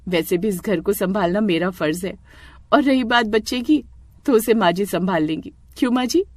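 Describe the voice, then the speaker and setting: A woman acts a gentle young mother in a cartoon family drama. She is forgiving and speaks softly and soothingly to her in-laws. The recording is dry. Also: medium pitch, lively intonation, normal speed, dry recording, lightly acted. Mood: sweetly placating.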